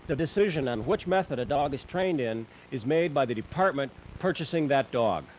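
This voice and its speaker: A man reading scripted promotional narration in a measured, instructional manner. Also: mid-range voice, expressive, darkish tone. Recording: noisy old VHS tape transfer.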